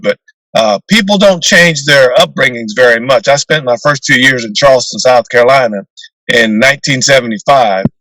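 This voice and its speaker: An older man with a deep voice, a retired naval officer answering interview questions in a measured way with fillers and restarts, over a video call. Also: sharp tone, no echo, rough recording.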